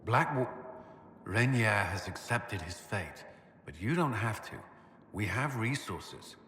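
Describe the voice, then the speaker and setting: Stern military commander, lowish pitch, measured, earnest, game drama, echoey with background noise. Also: male voice, expressive, sharp tone, full character voice. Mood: concerned. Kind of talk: dramatic video game acting. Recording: echoey stone hall reverb, noisy background.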